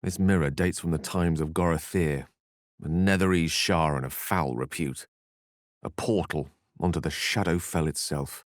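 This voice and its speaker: An actor playing an eloquent, theatrical wizard in a fantasy video game, learned, courtly and wry, with articulate, bookish phrasing. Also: male voice, extremely low pitch, moderate pace, no room sound, clean studio sound. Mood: grave.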